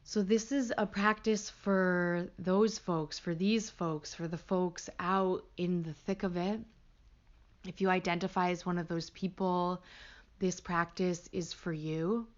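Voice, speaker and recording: A woman leading a guided meditation as a teacher, gentle and hushed, with pauses between short phrases. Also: on the low side, fairly even intonation, muffled recording.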